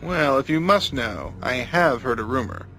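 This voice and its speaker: A man playing a guarded, measured security officer in a game cutscene. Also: mid-range voice, expressive, sharp tone, soft background music, poor audio. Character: smooth guarded male security agent.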